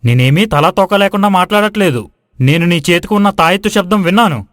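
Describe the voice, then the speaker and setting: A man's voice: sits high, animated, quick pace, a bit bright, dry recording, studio quality. Man acting a bold, stubborn young villager. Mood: accusing. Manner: assertive, argumentative. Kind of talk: acted moral-story dialogue.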